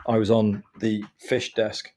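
A man's voice: fairly low pitch, level tone, measured pace, a little mellow. A man from England in his forties, a guest on an interview podcast, chatty and self-deprecating as he gives advice and tells stories.

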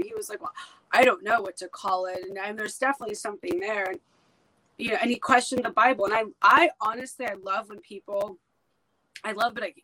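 A woman's voice: mid-range voice, bright, forceful tone, low-quality recording. A woman whose first language is Hebrew, talking bluntly and emphatically as a guest in a casual podcast conversation.

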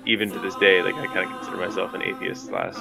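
A man's voice: sits low, monotone, quick pace, gravelly, loud music behind the voice. A man co-hosting a casual podcast, rambling and profane, laughing often. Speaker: podcast co-host. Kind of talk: casual chat.

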